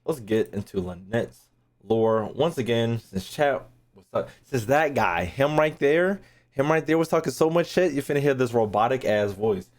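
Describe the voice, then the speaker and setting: A man's voice: medium pitch, animated, moderate pace. A young man giving casual livestream game commentary, slangy and profane, with playful rants. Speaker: game streamer.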